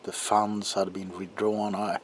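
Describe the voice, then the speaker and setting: A man playing a troubled, desperate doctor in an ASMR roleplay monologue, speaking haltingly in a hushed voice that often drops toward a whisper. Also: on the low side, level tone, lightly acted. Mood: desperate, confessional. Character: troubled doctor protesting innocence, desperate and haunted. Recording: audible background noise.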